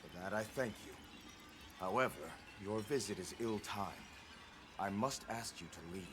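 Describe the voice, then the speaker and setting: Man acting a low-voiced, stern village leader. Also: moderate pace, natural voice. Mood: stern, guarded. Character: stern, guarded village elder, formally courteous. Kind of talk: video game voice acting. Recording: game audio with clear background noise.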